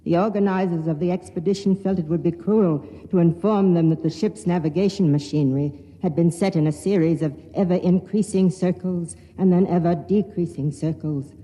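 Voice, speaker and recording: A grave secret chronicler, read by a man in an old radio play, solemn and measured. The voice is very dark and mellow. A long reverb trails every phrase. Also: high voice, steady tone, close to an everyday voice. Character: secret male chronicler, grave, reading his forbidden account. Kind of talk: 1950s radio drama acting. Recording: reverberant vintage radio recording.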